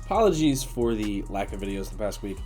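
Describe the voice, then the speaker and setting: A man with a raspy, nasal, congested voice giving casual commentary on a video game as host. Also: fairly low pitch, very animated, brisk, darkish tone, soft background music. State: congested after being sick.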